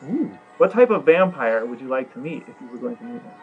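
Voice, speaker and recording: A man's voice: medium pitch, sing-song, fast. An American man from Ohio chatting casually and asking questions as a podcast co-host. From Ohio, United States. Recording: dull lo-fi audio with music.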